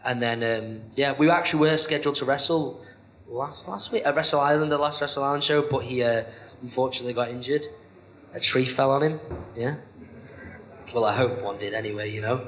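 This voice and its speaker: A man's voice: medium pitch, fairly quick, a little hiss or hum. Rambling man with an echoey sound. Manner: rambling, many "you know" fillers, self-described monotone. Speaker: professional wrestler, interview guest. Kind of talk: casual interview chat.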